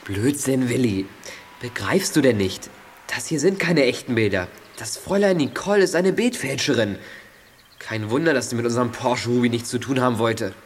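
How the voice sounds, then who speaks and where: Teen boy sleuth, medium pitch, clever, bossy explainer, easily exasperated; children's radio play. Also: animated, husky. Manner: exasperated, explanatory, quick to scold. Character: clever, impatient teenage boy detective. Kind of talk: German children's audio drama acting.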